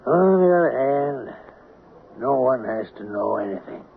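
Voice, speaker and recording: An actor playing a shrewd, folksy small-town investigator in an old-time radio drama, plain-spoken, on a tinny, noisy recording. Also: male voice, medium pitch, lively intonation, measured pace, vocal fry. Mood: persuasive.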